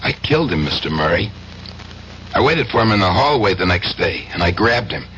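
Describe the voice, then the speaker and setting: A working-class American man, a killer with no remorse and an offhand politeness. The 1970s radio drama recording is muffled and clearly noisy. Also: low voice, big pitch swings, a little fast, pushed and bright, exaggerated voice. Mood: cold, boastful.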